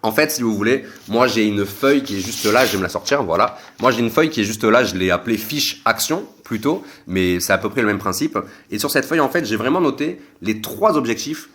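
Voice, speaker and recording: Young guy rambling thru his podcast, lowish voice, lots of euh and restarts. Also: lively intonation, brisk, few pauses, a bit bright. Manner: rambling, many fillers and restarts. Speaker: podcast host. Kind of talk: casual solo podcast monologue.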